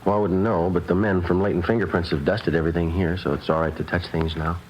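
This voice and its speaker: An actor playing a terse, businesslike police sergeant in a 1950s radio drama, with a deadpan, clipped delivery. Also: male voice, low voice, quick pace, lo-fi sound, lightly acted. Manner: deadpan, clipped, matter-of-fact. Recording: old radio recording, faint noise.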